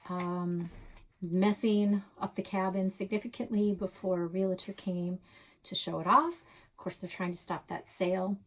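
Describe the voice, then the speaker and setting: A woman reading a children's novel aloud as a storytelling host, with expressive delivery. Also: fairly low pitch. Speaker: library read-aloud host.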